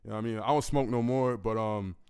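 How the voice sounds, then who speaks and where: A man in his twenties with a deep, gravelly voice, a musician answering fan questions in laid-back, profane casual talk. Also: quick pace, dark tone. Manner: laid-back, profane, keeps asking "you know what I mean"; commanding, projecting delivery. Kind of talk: casual solo Q&A.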